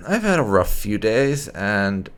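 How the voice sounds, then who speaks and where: Man roleplaying a proud, biting elf, animated pitch. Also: takes it slowly, smooth. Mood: angry, offended. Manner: indignant, biting, emphatic. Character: proud, short-tempered elf warrior resentful of humans. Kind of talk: improvised game roleplay.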